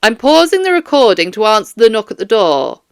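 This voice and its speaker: A woman voicing a stern police detective in an audiobook, questioning a suspect in a firm, formal, measured way. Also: on the high side, sing-song, clean tone, dry recording, clean studio sound. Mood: firm, official.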